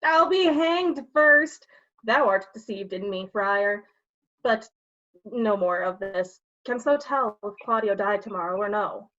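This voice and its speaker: A woman acting a bawdy, slanderous gentleman rogue in a staged Shakespeare reading, with a cheeky, insinuating, theatrical delivery. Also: mid-range voice, expressive, measured pace, muffled recording. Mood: defiant.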